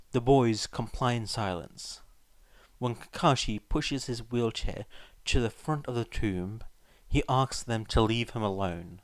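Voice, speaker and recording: A man's voice: fairly low pitch, no room sound. A man working as a fan fiction reader, either narrating a story or chatting casually to listeners. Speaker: podfic reader. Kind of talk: scripted story narration, casual chat afterwards.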